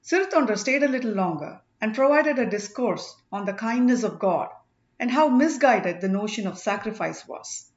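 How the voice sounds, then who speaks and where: A woman's voice: mid-range voice, animated, moderate pace, echoey room, lo-fi sound. A woman narrating an audiobook, reading a scripted story.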